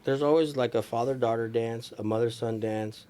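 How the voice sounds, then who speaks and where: A man's voice: medium pitch, steady tone, normal speed, warm tone. An American man chatting casually as a podcast guest, informal and slangy in his way of talking. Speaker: guest, wedding DJ and recording engineer. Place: small room with couches, indoors.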